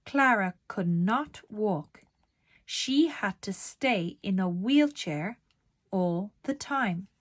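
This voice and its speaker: A woman narrating a children's storybook aloud in a deliberate, expressive storytelling manner. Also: medium pitch, dry recording.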